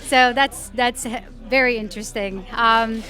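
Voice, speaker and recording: A woman with a high, bright voice hosts a casual interview. She is encouraging and appreciative as she talks with her guest. Faint music sits behind her. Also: steady tone, unhurried. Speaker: interviewer, host.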